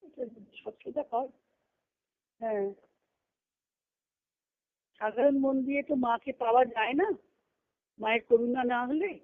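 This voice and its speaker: A woman's voice: on the high side, slow pace, long pauses. A woman giving an earnest devotional talk to a group, repeating phrases for emphasis. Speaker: spiritual discourse speaker. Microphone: phone conference call. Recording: tinny narrowband conference call line.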